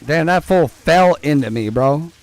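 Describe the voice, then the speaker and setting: A young man with a low voice chats his way through a game stream, loose and profane, joking at the screen and at his viewers. He speaks at an easy, unhurried pace. The sound is close and dry, straight into a headset mic. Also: lively intonation, no echo. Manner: rambling, profane, joking, talks to chat and to the game. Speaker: gaming streamer. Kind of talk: casual gameplay commentary.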